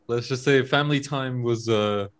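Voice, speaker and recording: A man hosting a casual podcast interview. He talks haltingly, laughs often and restarts his sentences a lot. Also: medium pitch. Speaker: podcast host.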